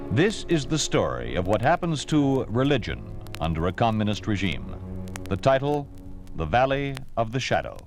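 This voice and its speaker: A man giving solemn, deliberate scripted narration as a 1950s radio drama announcer. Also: mid-range voice. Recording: vintage 1950s radio recording.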